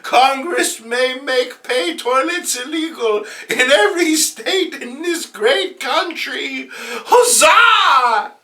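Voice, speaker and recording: Sweet, fervent old aunt played by a man, shrill strained falsetto, swooping theatrically, comic-book reading. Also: lively intonation, takes it slowly, sharp tone, distant mic, full character voice. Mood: triumphant appeal. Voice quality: strained, put-on elderly falsetto. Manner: theatrical, exclamatory.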